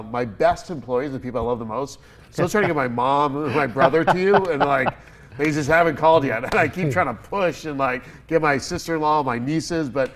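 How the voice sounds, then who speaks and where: A congested man hosts a casual studio chat, his voice sitting a little high for a man. He tells stories with bursts of energy and laughs along the way. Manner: energetic storyteller, animated, laughing while telling stories. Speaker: podcast host.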